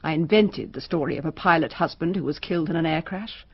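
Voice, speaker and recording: Husky, wistful mother. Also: sits low, fast talker, dry recording, highs cut off. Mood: wistful confession. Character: sorrowful, confiding mother with a painful secret. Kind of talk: radio drama acting.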